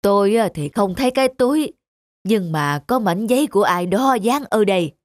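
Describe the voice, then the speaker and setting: A woman's voice: medium pitch, expressive, moderate pace, no room sound, studio quality, natural voice. A woman voicing a helpful, humble old male villager in a folktale cartoon. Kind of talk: Vietnamese folktale cartoon acting.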